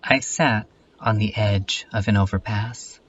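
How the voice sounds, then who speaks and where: A man playing a morbid, depressed loner who declaims a bleak, deliberate journal monologue in a fan dub. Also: on the low side, fairly even intonation, a bit bright, far from the mic, highs cut off, a little hiss or hum. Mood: bleak, wistful. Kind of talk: dramatic reading in a horror comedy fan dub. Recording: music under the voice.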